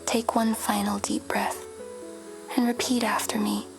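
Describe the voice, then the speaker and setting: A woman in a whisper, guiding a meditation in a slow, soothing way. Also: medium pitch, creaky. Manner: slow, soothing, flat delivery. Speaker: meditation guide. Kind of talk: guided meditation. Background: music.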